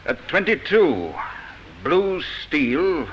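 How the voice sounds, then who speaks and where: Lively junior detective, old radio sound. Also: male voice, a little high, drawn-out words, exaggerated voice. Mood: matter-of-fact. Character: deferential, observant police detective, junior to an inspector. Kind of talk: 1950s radio drama acting. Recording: dull, noisy old radio recording.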